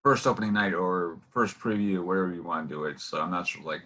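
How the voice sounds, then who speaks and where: A man hosting a casual interview in a halting, rambling way. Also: deep voice, fairly even intonation, pushed and bright. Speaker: interview host.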